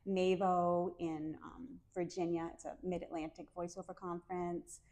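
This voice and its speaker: A middle-aged woman with a New England American accent, a calm and soft-spoken podcast guest chatting casually and laughing often. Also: fairly low pitch, moderate pace. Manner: friendly, laughs often, many fillers. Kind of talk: casual interview chat.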